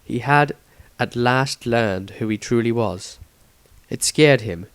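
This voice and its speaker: A man's voice: medium pitch, takes it slowly, smooth, dry recording. A man reading a scripted fantasy story as its narrator, in a dramatic storytelling style. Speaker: author and narrator.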